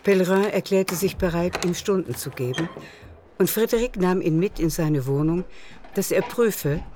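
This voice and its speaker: A woman's voice: lowish voice, lively intonation, moderate pace, noisy background. A woman narrating a literary radio play in a scripted, storytelling style.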